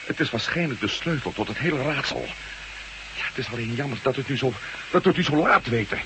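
An actor playing a driven former astronaut turned reporter, pressing hard and insistent in a radio drama. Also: male voice, mid-range voice, lively intonation, quick pace, noisy background, close to an everyday voice. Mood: urgent. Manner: urgent, insistent.

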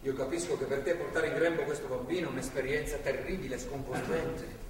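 An actor on stage playing a fanatical, paternal priest and captor, preachy and deliberately persuasive. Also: male voice, medium pitch, fairly even intonation, recorded from a distance, lightly acted. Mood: pleading, insistent. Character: fanatical paternal priest, calm, insistent, sanctimonious male captor. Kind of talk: live stage theatre acting. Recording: echoey theatre stage from afar, hiss.

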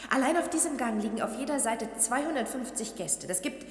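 An actress in a 1960s radio drama playing a doctor's young daughter, earnest, emphatic and brisk. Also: female voice, fairly high pitch, lively intonation, slightly rough. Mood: brisk guiding. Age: young adult. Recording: faintly noisy old radio play.

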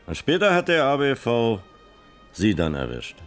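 An actor playing an authoritative police captain in a radio crime drama, asking pointed, official questions. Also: male voice, mid-range voice, huge pitch swings, normal speed, long reverb tail, faint music behind. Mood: pressing.

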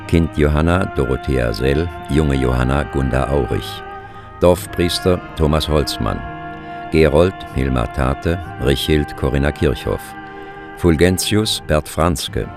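A man's voice: rumbling low, unhurried, music in the background. A man announcing radio drama credits as a scripted reading.

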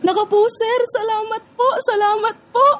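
A humble young woman worker, played by an actress in a radio drama. She pleads earnestly and deferentially, her voice pitched high with desperation. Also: little pitch movement, normal speed. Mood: tearful gratitude.

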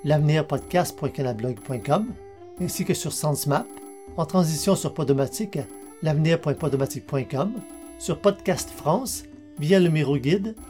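A man's voice: a little high, normal speed, warm tone, faint music behind. A man reading scripted podcast credits and sign-offs as the show's announcer.

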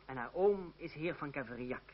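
An actor playing a proud, wry young suitor in a Dutch radio play, speaking in a measured, ironic way. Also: male voice, a little high, lively intonation, breathy edge. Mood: resigned, frank. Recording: dull, hissy old radio recording.

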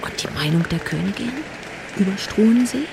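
An actor playing a cold, haughty royal conspirator in a German radio drama, imperious and disdainful, with clipped questions. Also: male voice, sits high, expressive, normal speed, creaky, a crowd in the background, noisy background. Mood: cold, scornful. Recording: music under the voice. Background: music.

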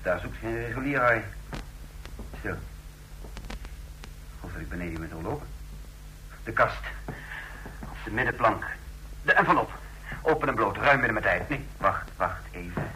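Low-voiced, wary test subject. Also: male voice, lively intonation, measured pace, long pauses, sharp tone. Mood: alert, searching. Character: wary male test subject, thinking aloud. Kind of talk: radio drama acting in Dutch. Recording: noisy old radio drama.

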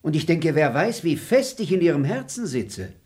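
A smug, stingy bailiff in a radio play, bragging and mocking with a lively, bouncing delivery. He mutters sly asides under his breath. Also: a little high, moderate pace. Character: boastful, dim male bailiff, smug and stingy.

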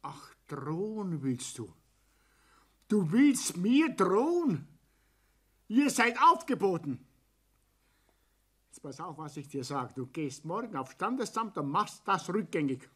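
A man's voice: high-pitched, sing-song, slow pace, pauses often, husky, exaggerated voice. An actor playing a domineering, hot-tempered old Bavarian father and businessman in a radio play, blustering and commanding with a Bavarian accent. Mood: furious, threatening. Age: older. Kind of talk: German radio play acting.

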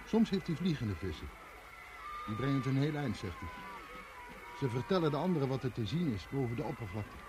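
A man's voice: mid-range voice, sing-song, a little fast, long pauses, close to an everyday voice. An actor as a wistful young migrant man, noisy recording. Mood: wistful, earnest. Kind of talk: Dutch radio play acting.

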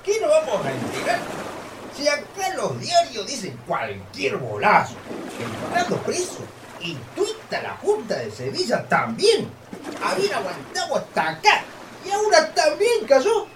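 An actor playing a rustic, mocking old husband with a rural Argentine accent in a radio drama, folksy and exclamatory. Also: male voice, very high voice, huge pitch swings, a little slow, exaggerated voice. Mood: mocking glee. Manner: folksy, exclamatory, prone to cackling. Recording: distant, echoey, noisy radio play recording.

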